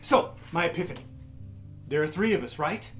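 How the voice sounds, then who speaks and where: Comedy ghost professor, male, acted by a man: excitable, pedantic, highly animated delivery. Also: normal speed, soft background music. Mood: pompous, musing. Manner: dramatic, lecturing. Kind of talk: theatrical comedy acting for a web series.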